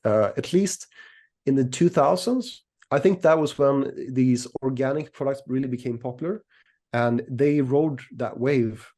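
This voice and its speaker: A middle-aged man from Sweden speaks as an interview guest, explaining things conversationally. His voice is mellow and relaxed, sitting at a medium pitch. He picks his phrasing with care and often doubles back on a word. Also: measured pace. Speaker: interview guest, equities analyst and newsletter writer.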